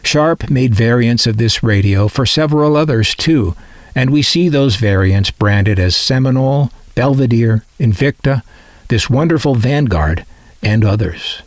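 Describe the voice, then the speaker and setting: A man's voice: mid-range voice, expressive, unhurried, dry recording, clean studio sound. An American man from the Midwest narrating a scripted piece as a collector and presenter, with a wry, opinionated way of talking. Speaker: vintage radio collector and presenter.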